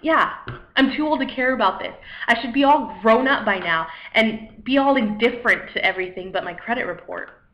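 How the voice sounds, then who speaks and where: A woman acting a dramatic monologue as a weary, self-deprecating failed poet, anxious and rambling, in a halting, wry, conversational way. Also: fairly high pitch, animated, close to an everyday voice. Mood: bitter. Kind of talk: dramatic monologue, cold read. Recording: echoey room, dull lo-fi microphone.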